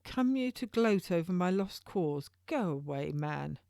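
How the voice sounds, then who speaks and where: A woman's voice: fairly low pitch, animated, measured pace, vocal fry, dark tone, no room sound. A weary prisoner woman in a historical story, acted by a woman reading aloud. She is distrustful and guarded, with old-fashioned wording. The recording is close and dry. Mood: bitter, weary. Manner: archaic speech, wary. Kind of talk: audiobook character dialogue.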